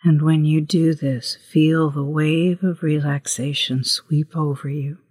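A woman leading a guided meditation as host, with a hushed, breathy voice and gentle, unhurried instructions. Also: low voice, fairly even intonation, no echo. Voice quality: breathy, husky, often near whisper.

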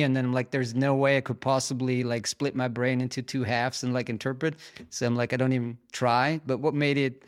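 A man hosting an interview podcast, asking questions in a casual, halting way with fillers. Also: medium pitch. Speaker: podcast host.

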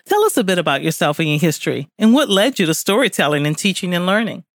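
A woman's voice: on the low side, sing-song, fast, no room sound, clean studio sound. A woman hosting an interview, reading a scripted introduction of her guest and then asking him questions. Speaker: host.

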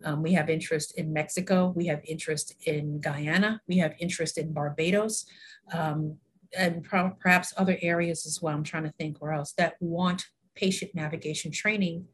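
Husky-voiced woman, earnest and chatty. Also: low-pitched, fairly even intonation, normal speed. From New York, USA. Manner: warm, earnest, laughs often, many fillers. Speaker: guest, health education consultant. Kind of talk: casual interview conversation.

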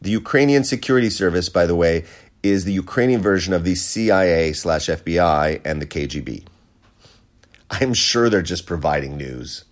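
A man's voice: low voice, lively intonation, normal speed. An American man hosting a podcast, delivering a sarcastic, profane opinion rant. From United States. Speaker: podcast host. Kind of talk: opinion monologue.

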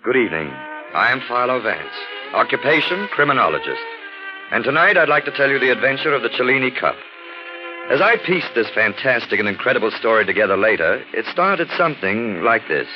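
A man's voice: medium pitch, sing-song, brisk, over music, lightly acted. An actor playing an urbane criminologist, narrating his case with wry, theatrical polish in 1940s radio mystery style. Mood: suave. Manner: suave, wry, theatrical storyteller. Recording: dull 1940s radio recording.

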